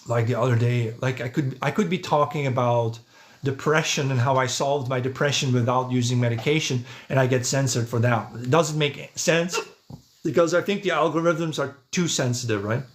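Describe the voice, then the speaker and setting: A man's voice: mid-range voice, moderate pace. Man talking casually on a livestream. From Netherlands. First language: Dutch. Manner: conversational, thinking aloud, checking with "right?" and "yeah". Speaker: podcast host, livestreamer. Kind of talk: casual livestream monologue, answering chat comments.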